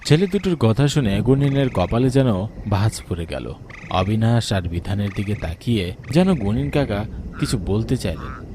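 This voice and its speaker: Low, animated male storyteller. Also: normal speed, slight background noise. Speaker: narrator. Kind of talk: scripted dramatic horror story narration.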